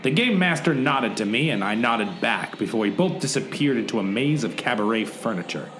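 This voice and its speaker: Man playing a melodramatic detective hero, lively swings. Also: mid-range voice, fairly quick, a little hiss or hum, close to an everyday voice. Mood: brooding, wry. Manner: dramatic, wry, mock-serious. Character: hard-boiled male detective hero, earnestly melodramatic. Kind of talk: noir parody narration in an audio drama. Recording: roomy echo, music underneath.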